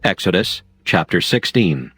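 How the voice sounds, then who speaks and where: A man's voice: sits low, sing-song, measured pace, a bit bright, soft background music. A man narrating dramatized scripture in a solemn, formal storytelling manner.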